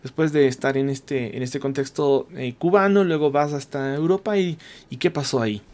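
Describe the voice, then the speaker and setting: A man's voice: mid-range voice, normal speed, a little mellow. A man interviewing a guest conversationally, feeling his way through long, roundabout questions.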